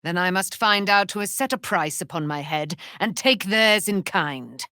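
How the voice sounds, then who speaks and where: A woman's voice: fairly low pitch, normal speed, bright, forceful tone, dry recording, studio quality. An actress voicing a proud, vengeful immortal warrior woman in a fantasy game, with grand, archaic, declamatory delivery. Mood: solemn, brooding. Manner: declamatory, archaic, grand, speaks of herself in third person. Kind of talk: dramatic fantasy game acting.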